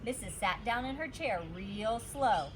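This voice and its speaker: A woman reads a children's story aloud like a teacher. Her fairly high voice is very animated and deliberate. There is some noise in the background. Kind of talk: expressive children's storybook narration.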